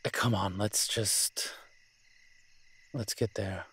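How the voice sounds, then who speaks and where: A man playing a proud, flirtatious incubus demon professor in an intimate audio roleplay, husky and teasing, with hesitant, drawn-out pauses. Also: lowish voice, fairly even intonation, a bit bright, exaggerated voice. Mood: hesitant, uneasy.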